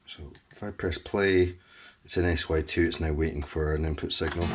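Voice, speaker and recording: A man's voice: rumbling low, steady tone, normal speed. A man explaining music equipment in a methodical, matter-of-fact tutorial style. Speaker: music gear demonstrator.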